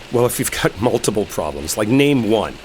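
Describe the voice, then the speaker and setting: A man's voice: on the low side, sing-song, normal speed, a little mellow. A man playing an irritated, sarcastic coworker arguing as he drives, in a roleplay audio drama. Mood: defensive anger. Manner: irritated, sarcastic, swearing, argumentative. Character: exasperated, sarcastic male coworker arguing while driving. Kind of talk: enemies-to-lovers roleplay audio acting. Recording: rain and car noise behind. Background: rain storm and vehicle ambience.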